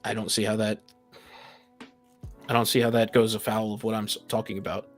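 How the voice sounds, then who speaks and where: A man on a podcast panel in casual debate, reasoning in halting, repeated phrases. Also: on the low side, flat delivery, soft background music.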